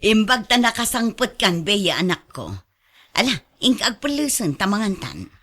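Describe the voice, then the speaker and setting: A woman's voice: mid-range voice, sing-song, moderate pace, fairly bright tone. A woman playing a caring middle-aged mother with an Ilocano accent in a radio drama, coaxing and giving firm advice.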